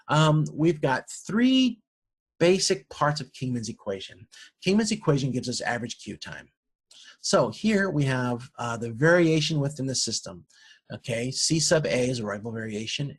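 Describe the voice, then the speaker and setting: Male lecturer, mid-pitched and rough-edged, informal explanatory delivery with halting repeats. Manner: halting, thinking aloud, repeats words.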